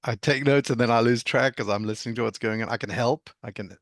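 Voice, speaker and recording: A man from South Africa, mid-pitched, speaks on a video call. He talks haltingly and self-deprecatingly, with lots of fillers. The sound is dry, with no room echo. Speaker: meeting participant, developer. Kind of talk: casual video call chat.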